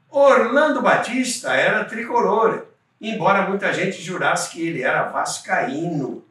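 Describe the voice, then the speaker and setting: A man's voice: a little high, bright, forceful tone. A Brazilian man narrating radio history from a script, with theatrical storytelling and chatty asides. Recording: echoey room, distant mic.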